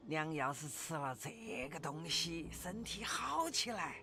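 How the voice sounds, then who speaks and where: Slow, swooping Sichuanese village mother. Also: low voice, heavily acted. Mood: tender, pleading. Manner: folksy, drawn-out, expressive. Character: rustic ailing old mother, gossipy, doting, superstitious. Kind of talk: video game character acting, folksy storytelling. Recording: game music behind the voice.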